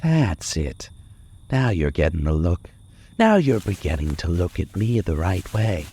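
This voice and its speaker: A man plays an obsessive, menacing killer in a vintage radio thriller. His voice is low and husky, swinging widely in pitch as he confesses. The delivery is intense and halting, full of brooding threat. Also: sing-song, warm tone, full character voice. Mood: coldly threatening. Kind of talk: old-time radio suspense acting, confessional narration.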